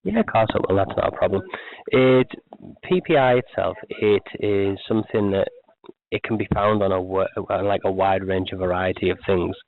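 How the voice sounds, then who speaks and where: A man, a telemarketer giving a rambling, repetitive sales pitch over the phone. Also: moderate pace, dry recording. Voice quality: croaky. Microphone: telephone. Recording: tinny phone line.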